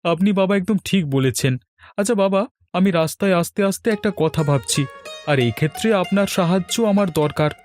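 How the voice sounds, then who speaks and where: Casting: male actor for polite young village husband, higher male voice, humble and generous, cartoon with faint music. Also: fairly quick, darkish tone, close to an everyday voice. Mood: earnest. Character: earnest, respectful young village son-in-law, generous and well-meaning. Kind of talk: cartoon fairy-tale voice acting.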